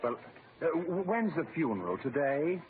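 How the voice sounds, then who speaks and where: A thin old radio recording with faint noise carries a solemn coworker. He sounds earnest and halting, with expressive rises and falls as he sympathizes. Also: a little high. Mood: solemn, sympathetic. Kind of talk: old-time radio comedy acting. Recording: tinny, faintly noisy old radio broadcast.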